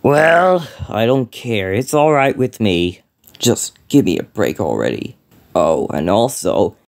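Man voicing Mr Red Head, gravelly grumpy cartoon creature. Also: lowish voice, very animated, no room sound, clean studio sound, exaggerated voice. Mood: fed up. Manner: drawn-out, exclamatory, swinging from mutters to outbursts. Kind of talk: solo cartoon character voicelines.

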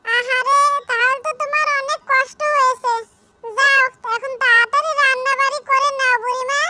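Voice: very high voice, fairly even intonation, normal speed, sharp tone, soft background music, full character voice. A performer voicing a small, caring pet parrot in a children's cartoon, chirpy and fussing. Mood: sympathetic. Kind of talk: children's cartoon story acting.

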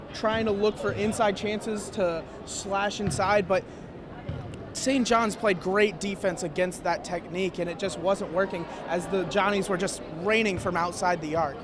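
A man's voice: high voice, background noise. A young man giving an earnest, detailed postgame basketball analysis courtside, speaking into a handheld mic. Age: young adult. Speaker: student sports analyst. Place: courtside in a large indoor arena.

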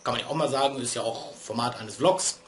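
A man's voice: medium pitch, a little fast, a little hiss or hum. A man in his thirties vlogging from a small room at home. He rambles casually and pokes fun at himself, and he sounds a little stuffy from a cold. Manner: chatty, digressive, self-deprecating. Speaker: vlogger and streamer. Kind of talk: casual rambling vlog talk. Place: small room at home, lit by LED strips.

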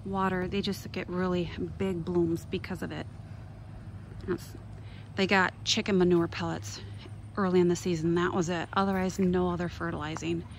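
A woman chatting casually and off the cuff as she gives a garden tour, wandering between exclamatory asides. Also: fairly low pitch. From Minnesota, United States. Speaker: gardening vlogger. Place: outdoors in a garden. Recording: noisy outdoor handheld recording. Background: clear outdoor ambient noise.

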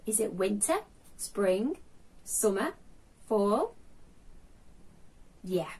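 A woman's voice: medium pitch, slightly rough. A woman in her thirties hosting a children's storytime, speaking expressively with a sing-song delivery straight to young listeners. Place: small furnished room at home, indoors.

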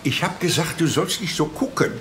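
An actor playing a folksy, gossipy older uncle with a chummy, colloquial manner in a crime radio drama. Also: male voice, on the high side, brisk, noisy background, natural voice.

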